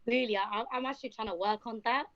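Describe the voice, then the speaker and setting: A twenty-one-year-old woman from London with a posh London accent and a hoarse voice, chatting lightly as an interview guest. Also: a little high, quick pace, no room sound, highs cut off. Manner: lively, laughs easily. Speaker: rapper, guest. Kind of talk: casual interview chat.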